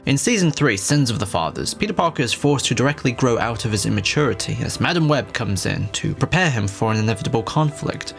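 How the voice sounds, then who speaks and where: A man's voice: medium pitch, animated, fast, vocal fry, hardly any pauses. A man reading a scripted video essay in an irreverent, casually profane manner. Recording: faint music under narration. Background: soft background music.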